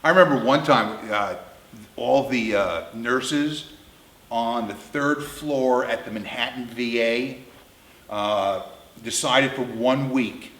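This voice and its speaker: A man's voice: mid-range voice, takes it slowly, fairly bright tone. A man in his fifties telling comic anecdotes in a blunt, crude, emphatic way. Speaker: storyteller. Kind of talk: comedic anecdote storytelling. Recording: roomy, echoing indoor sound.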